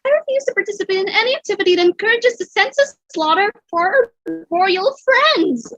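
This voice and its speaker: A woman's voice: extremely high pitch, animated, brisk, a bit bright, no room sound, heavily acted. A woman playing an earnest, nature-loving girl camper who protests dramatically, in a theatre table read. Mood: indignant. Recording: slightly dull lo-fi microphone.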